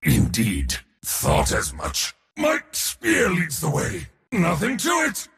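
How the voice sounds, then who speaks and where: An actor voicing a proud, pompous god of war for a video game, with a theatrical, commanding military delivery. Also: male voice, fairly high pitch, wildly swooping pitch, takes it slowly, vocal fry, a bit bright, in a large hall, full character voice. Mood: confident. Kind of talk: video game hero voice lines.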